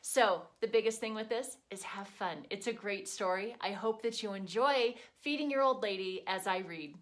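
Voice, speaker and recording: A woman's voice: mid-range voice, normal speed. A woman teacher explaining a craft and reading activity to children at home, patient and step by step.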